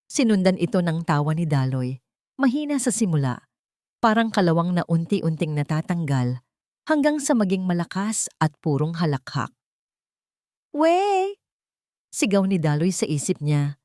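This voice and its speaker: A woman reads a scripted romance story as an audiobook narrator. Her voice sits on the low side for a woman. The delivery is dramatic storytelling, recorded dry with no room sound. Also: mellow, no room sound.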